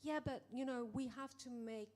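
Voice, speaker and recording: Animated woman producer telling a story. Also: high-pitched, moderate pace. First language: English. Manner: animated, acts out quoted dialogue, many restarts. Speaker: film producer. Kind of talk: panel conversation.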